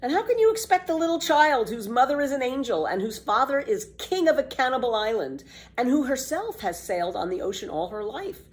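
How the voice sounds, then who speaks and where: Boastful, carefree little girl, acted by a woman, storybook tall-tale teller, emphatic, very animated, raised pitch. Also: fairly quick. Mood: earnest, persuasive. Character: bold, boastful, exuberant little girl telling tall tales. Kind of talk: children's storybook read-aloud.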